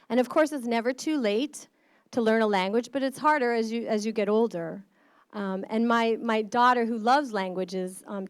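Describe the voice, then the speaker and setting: A woman's voice: medium pitch, no echo. A middle-aged woman from the American Midwest, a state board of education member, giving an earnest, passionate conference speech. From Midwestern United States.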